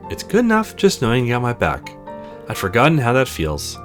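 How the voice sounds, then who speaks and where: A man's voice: mid-range voice, wildly swooping pitch, brisk, faint music behind. A man voicing a prickly, exasperated young woman in a visual novel, blunt and sarcastic but loyal. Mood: resigned, sincere.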